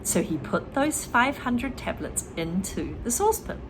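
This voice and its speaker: Lively female storyteller-teacher, reads and explains vocabulary, light room noise. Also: fairly high pitch, unhurried. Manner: lively, explains words to learners. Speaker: reader and English teacher. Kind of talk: children's story read aloud with explanations.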